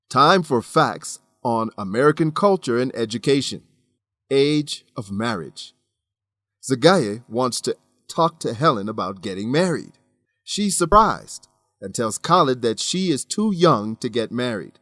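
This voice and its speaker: A man hosting an English lesson as scripted narration, measured, instructive and clearly enunciated. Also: medium pitch, a bit bright, dry recording. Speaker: host and English teacher.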